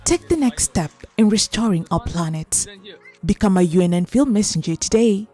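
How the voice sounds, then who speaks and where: A woman's voice: mid-range voice, animated, moderate pace, warm tone, faint music behind. A woman reading a rallying, earnest promo voice-over. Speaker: promo narrator.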